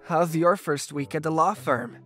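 Woman as low-voiced, lisping troubled young man. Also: normal speed, faint music behind, lightly acted. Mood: fond. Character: troubled, tender young male rock musician. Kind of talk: amateur comic dub acting.

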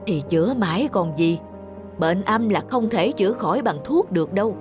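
A gossipy, superstitious middle-aged village woman from an animated folk tale, played by an actress. She urges and persuades insistently, with music playing faintly underneath. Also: mid-range voice, lightly acted.

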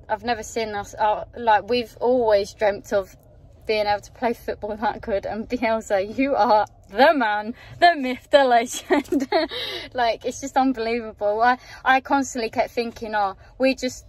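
A woman's voice: a little high, unhurried. Teary young woman in a car. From England, outside Yorkshire. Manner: emotional, gushing, voice wavering with tears. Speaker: football fan. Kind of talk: emotional vlog to camera. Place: inside a parked car at night. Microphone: phone camera held at arm's length.

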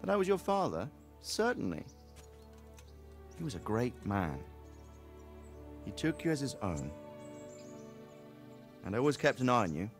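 A fatherly medieval knight in a game cutscene, middle-aged and reflective. His voice moves with lively pitch while he speaks in a measured, warm-hearted way, with soft music faintly behind. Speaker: knight lord, father of the hero. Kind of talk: video game cutscene dialogue.

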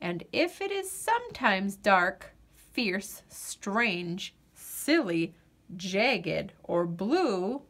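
Female picture-book reader: unhurried, animated delivery with dramatic pauses for young listeners. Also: medium pitch, slight background noise. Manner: dramatic, deliberate, with drawn-out pauses. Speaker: storyteller reading a picture book aloud. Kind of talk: expressive children's storybook narration.

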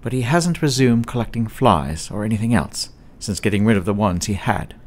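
A man's voice: lowish voice, expressive, normal speed. An actor, a man, playing a matter-of-fact asylum doctor giving reports in a radio drama.

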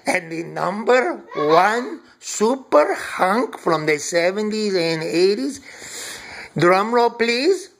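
A man's voice: high voice, big pitch swings, slow pace, creaky, a bit bright, exaggerated voice. A man acting as Cha-Cha, a gushing, flirtatious diva woman, coy and camp, hosting a comic countdown to camera. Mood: excited, swooning. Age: middle-aged. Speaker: character host of a countdown. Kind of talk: comic character monologue to camera. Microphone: webcam or device at arm's length.

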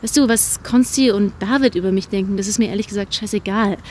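A woman's voice: medium pitch, quick pace, dark tone, a little hiss or hum, lightly acted. An actress playing a guarded young female student, hurt and earnest, in a naturalistic audio drama. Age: young adult.

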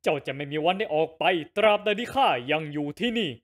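Male actor as a commanding captor, raised pitch, loud, threatening, fantasy dub. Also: lively intonation, fast, natural voice. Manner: loud, imperious.

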